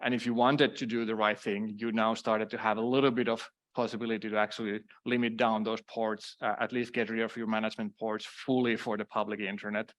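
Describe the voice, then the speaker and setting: A man's voice: fairly low pitch, level tone, fairly quick, hardly any pauses, fairly bright tone. Finnish-accented man giving a tech talk. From Finland. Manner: many fillers and restarts, self-deprecating asides. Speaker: conference speaker. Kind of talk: conference talk.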